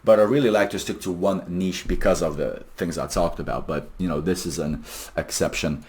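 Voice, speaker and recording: A man's voice: low-pitched, fairly quick, darkish tone. A man presenting a casual explainer video in an informal, emphatic, salesy way with some slang. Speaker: AI phone agent developer presenting a video.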